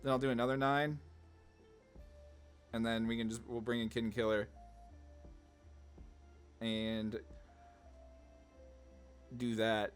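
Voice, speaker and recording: A man's voice: medium pitch, clean tone. Casual gaming man talking over music. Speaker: card game video host. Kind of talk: casual gameplay commentary, thinking aloud. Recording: music under the voice.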